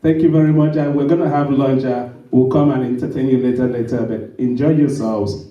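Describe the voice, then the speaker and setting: A man's voice: medium pitch, steady tone, muffled recording. Man, storyteller, emphatic public speech, echoey room, distant mic. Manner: halting with fillers, then emphatic and preachy. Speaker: storyteller speaking at a summit.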